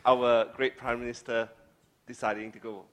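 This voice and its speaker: A man in the audience asking a question, halting and hesitant. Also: mid-range voice, flat delivery.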